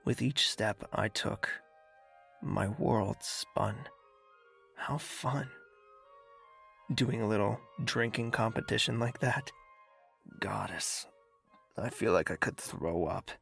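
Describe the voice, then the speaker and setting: A man playing a wealthy, smitten, possessive young nobleman in an intimate audio drama, speaking in a husky, teasing, courtly way. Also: on the low side, takes it slowly, long pauses, a bit bright, faint music behind, heavily acted. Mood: weary, enamoured. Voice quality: husky, breathy, creaky.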